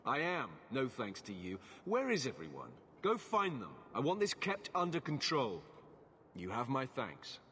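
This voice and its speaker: Game guard captain: stern, weary, curt, commanding, sharp tone, mid register. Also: male voice, mid-range voice, huge pitch swings, a little slow, slight background noise, heavily acted. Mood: exasperated, commanding. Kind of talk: video game drama acting.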